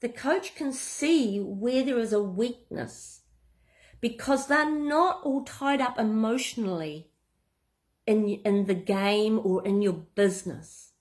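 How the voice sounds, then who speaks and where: A woman from New Zealand with a clear Kiwi accent talks through a business tip. Her delivery is loose and chatty, full of "you know" and small restarts, like a coach thinking aloud. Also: on the high side, slow. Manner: relaxed and conversational, with frequent fillers and restarts. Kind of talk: talking-head business tips.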